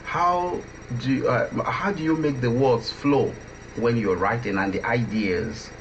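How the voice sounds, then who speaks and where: Male radio interviewer, mid-pitched, halting conversational delivery, slightly noisy dull audio. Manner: hesitant, halting, repeats words. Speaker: interviewer, radio drama producer. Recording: dull lo-fi audio with faint noise.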